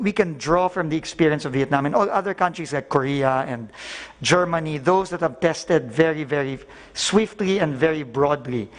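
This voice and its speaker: Filipino man briefing officials, code-switching. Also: a little high. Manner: mixes English and Filipino, polite po, frequent "no?" tags. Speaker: government official reporting at briefing. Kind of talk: formal briefing report.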